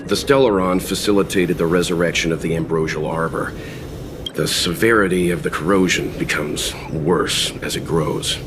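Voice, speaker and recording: An actor playing a calm, courteous, dependable grown man in a video game, formal and reassuring. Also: sits low, normal speed, noisy background, close to an everyday voice. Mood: grave. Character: calm, courteous, dependable mature male traveler. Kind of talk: video game cutscene acting.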